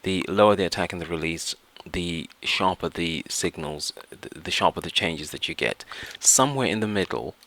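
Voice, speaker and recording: A man presenting a step-by-step software tutorial, explaining things and often repeating and rephrasing his phrases. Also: low-pitched, expressive, moderate pace, few pauses.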